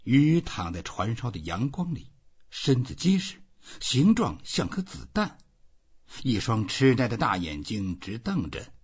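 Husky man reading with big pitch swings. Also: huge pitch swings, measured pace. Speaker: audiobook reader. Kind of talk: expressive literary audiobook narration. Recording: dull, muffled lo-fi audio.

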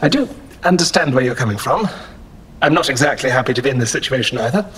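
An actor playing a dry, wary android in an audio drama, precise and deadpan with a husky edge. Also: male voice, medium pitch, quick pace, slight background noise, natural voice. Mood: resigned.

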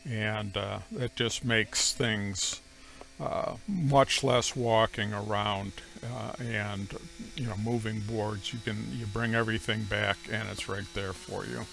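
A middle-aged man narrating how he runs his sawmill, speaking slowly and plainly with frequent pauses. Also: lowish voice, fairly even intonation, creaky, a bit bright. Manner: slow, measured, plain-spoken with frequent "uh" pauses. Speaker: homestead sawmill operator. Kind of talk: voice-over explaining sawmill operation.

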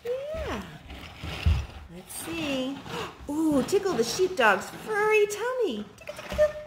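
A woman in her thirties reading picture books aloud to young children at home, in an animated, child-directed way with drawn-out words. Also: extremely high pitch. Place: living room at home, indoors. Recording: home recording with background noise.

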